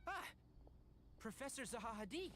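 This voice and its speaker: A man voicing a gentle, sensible young forest ranger in a video game, polite, earnest and calmly authoritative. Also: very high voice, normal speed, heavily acted. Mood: concerned. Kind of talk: video game character acting. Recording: noisy game stream capture.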